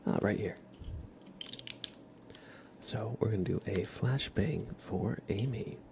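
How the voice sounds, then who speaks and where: Deep, creaky-voiced man doing soft ASMR. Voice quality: soft, partly whispered. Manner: gentle, unhurried, casual. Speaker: ASMR livestreamer. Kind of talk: ASMR, soft relaxing talk and guided visualization. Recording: clear crinkling and ambient noise.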